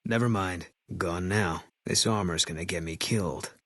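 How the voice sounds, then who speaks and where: A man playing a brooding military sniper companion in a video game, speaking in clipped, guarded, deadpan lines. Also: sits low, moderate pace, no room sound. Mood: terse, guarded.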